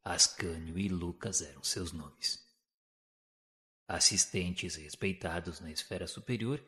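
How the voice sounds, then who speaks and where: A Brazilian man narrates a spiritual audio novel in a solemn, formal, measured storytelling manner. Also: deep voice, fairly bright tone. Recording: long hall-like reverb.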